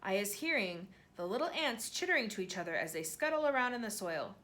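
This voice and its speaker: A woman voicing a huge, gentle male giant who speaks with jumbled grammar and made-up words, earnest and full of wonder. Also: mid-range voice, big pitch swings, a little fast.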